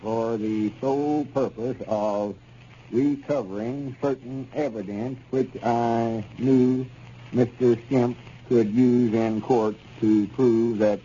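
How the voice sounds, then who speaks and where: A man's voice: mid-range voice, dark tone, natural voice. An actor playing an exasperated, folksy storekeeper with a rural Arkansas Southern accent, fussing at his partner in a 1930s radio serial. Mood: halting read-out. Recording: dull, noisy 1930s radio recording.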